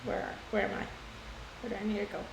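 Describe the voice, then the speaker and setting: A woman's voice: mid-range voice, level tone, quick pace, gravelly, slight background noise. A woman chatting casually as she livestreams a video game, with game music behind her. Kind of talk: casual livestream gaming chat. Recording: echoey room, music behind.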